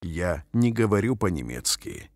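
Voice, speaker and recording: A man reading short phrases aloud slowly and carefully, each one repeated, for language learners. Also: low voice, very animated, dry recording. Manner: careful, clearly spaced phrases. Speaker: language teacher. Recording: dry studio voice-over.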